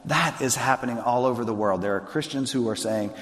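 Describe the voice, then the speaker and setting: A man's voice: medium pitch, fairly quick, a bit bright. A man who is a pastor, preaching earnestly and conversationally, often restarting his sentences. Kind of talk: sermon and onstage interview. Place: large hall with long reverb. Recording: long hall reverb.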